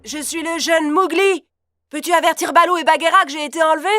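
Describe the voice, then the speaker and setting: Woman as a very high-pitched earnest jungle boy. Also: fast talker, music in the background. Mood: urgent, pleading. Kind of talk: storybook cartoon dialogue.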